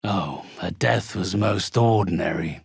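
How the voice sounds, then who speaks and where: An actor playing a sinister, pompous undead necromancer in a video game, speaking formally with cold politeness in a gravelly, husky voice. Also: male voice, low voice, measured pace, no echo, studio quality, exaggerated voice. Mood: cold menace. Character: sinister, haughty undead male necromancer trading in spirits. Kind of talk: scripted video game character acting.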